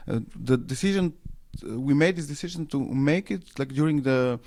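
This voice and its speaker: A man who grew up in Serbia and speaks English as a second language. He answers radio interview questions in a halting way, full of fillers and restarts as he searches for words. His voice sits at an ordinary middle pitch. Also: darkish tone. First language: Serbo-Croatian. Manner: hesitant, many fillers and restarts. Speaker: interview guest, anarchist newspaper editor.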